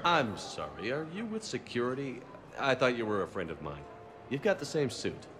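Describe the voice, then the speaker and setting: An actor playing a confident military commander in a video game, speaking in a terse, dry, steady way. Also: male voice, medium pitch, moderate pace, crowd noise. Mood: cool, probing. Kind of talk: video game cutscene dialogue. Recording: game music or ambience behind voice.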